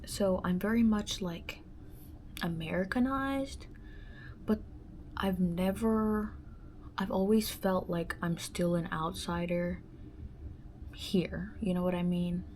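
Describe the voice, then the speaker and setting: Slow, soft-spoken reflective woman. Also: on the low side, steady tone, slight background noise. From born in the Dominican Republic, raised in the United States. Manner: musing and halting, many fillers, often drops to a soft half-whisper; gestures with her hands while talking. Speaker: solo podcast host. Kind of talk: reflective personal monologue.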